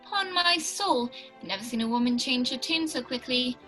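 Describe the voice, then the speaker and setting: A gallant older gentleman doctor voiced by a woman in a radio play, earnest and easily amazed. The voice is very high and bright, with light music behind. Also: lively intonation, moderate pace. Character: older male doctor, genial, gallant sidekick to detective. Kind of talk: 1940s radio play acting.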